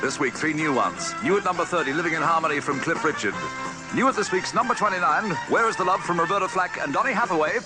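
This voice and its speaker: A man's voice: a little high, animated, fast. A man presenting a radio chart countdown with punchy disc jockey patter over music. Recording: archive radio with loud music bed. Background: loud music.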